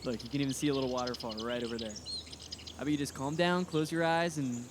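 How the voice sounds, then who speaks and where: A young man playing a curious, level-headed high school boy in an audio drama, talking casually and haltingly. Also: steady tone, close to an everyday voice. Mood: calming, coaxing. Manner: hesitant, thoughtful, casual. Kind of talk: naturalistic audio drama acting. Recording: noisy outdoor recording with insects. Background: crickets, forest ambience.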